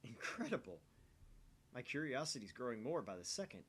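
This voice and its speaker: A man voicing an eager, boastful young amateur mad scientist in a visual novel, with an excited, declarative manner. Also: mid-range voice, brisk, slightly rough. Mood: fascinated. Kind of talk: visual novel dialogue read aloud.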